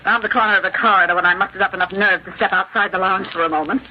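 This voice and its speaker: An actress playing a cold, vindictive, murderous widow in a 1940s radio drama, commanding and theatrical. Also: female voice, medium pitch, fairly even intonation, rapid-fire, natural voice. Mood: cold, threatening. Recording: dull, noisy 1940s radio recording.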